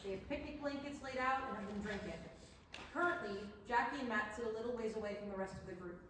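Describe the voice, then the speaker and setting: A fairly high-voiced woman reads stage directions briskly, as scripted narration for a play. She sounds distant, in a heavily echoing hall with faint noise. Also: fairly bright tone. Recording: very echoey hall, distant mic, slight noise.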